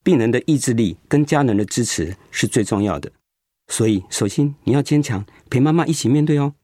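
An actor playing a kind, steady male oncologist in a radio drama, explaining things in a reassuring way. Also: medium pitch, fairly quick, no room sound, clean studio sound, natural voice. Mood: reassuring, firm. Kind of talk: Mandarin radio drama acting.

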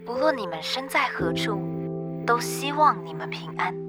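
A woman playing a solemn, tender resistance broadcaster, delivering a mournful scripted monologue in a sometimes hushed voice. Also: fairly high pitch, sing-song, normal speed. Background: loud instrumental music.

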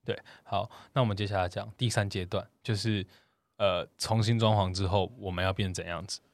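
A man's voice: deep voice, steady tone, a little fast, no room sound. A man with a Taiwanese accent talking casually as a podcast co-host. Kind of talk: casual chat.

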